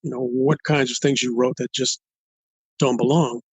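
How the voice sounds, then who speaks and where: An older man from Los Angeles in an interview as a guest author, speaking in a halting, thoughtful way and building his points step by step. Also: mid-range voice, steady tone, breathy edge, no echo. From United States, Los Angeles. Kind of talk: interview conversation.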